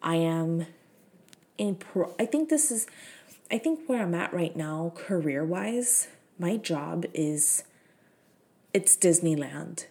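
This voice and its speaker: A woman in her thirties hosts a solo podcast, talking casually. Her voice sits a little low, and she chats frankly with plenty of swearing and fillers. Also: lively intonation, slow, long pauses, warm tone. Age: 30s. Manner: chatty, frank and profane, with many fillers. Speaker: podcast host.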